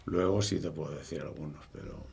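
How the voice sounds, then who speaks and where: Older man, early 70s, Castilian accent, deep and very gravelly, halting, informal recollections. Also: a little mellow. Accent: Castilian Spanish. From Spain. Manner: halting, repeats words as he recalls. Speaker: interviewee. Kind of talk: casual reminiscing.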